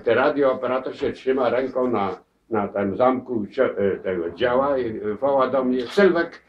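An elderly man shares his war memories in a crowded living room. He speaks haltingly, repeating words and restarting his sentences. He is picked up from across the room on a dull camera mic. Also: medium pitch. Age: older. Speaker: war veteran interviewee. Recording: distant, dull camera audio.

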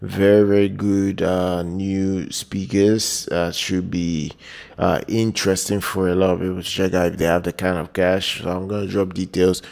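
A man presenting product news in a semi-improvised voice-over, speaking in a halting, repetitive way with drawn-out hesitations. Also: sits low, level tone, vocal fry, darkish tone. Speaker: product news video host.

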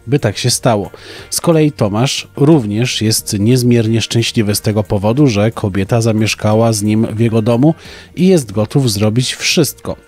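A man's voice: mid-range voice, dark tone. A young man hosting a podcast, presenting book recommendations in a scripted style. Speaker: podcast host.

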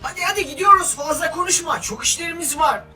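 A chirpy cartoon superhero sidekick, put on by a performer, extremely high and bright, coaxing and reassuring. The voice sits a little away from the mic, over music. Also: quick pace, heavily acted. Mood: brisk. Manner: friendly, reassuring, cajoling. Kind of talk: comic game-cartoon dub. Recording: distant voice with music behind.

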